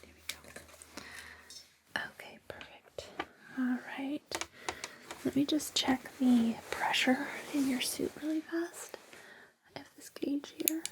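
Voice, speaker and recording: A woman whispering gently and reassuringly in an ASMR personal-attention roleplay, with a breathy, soothing delivery. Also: high-pitched, fairly even intonation, slow pace, pauses often. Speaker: ASMR host playing a space suit fitting attendant.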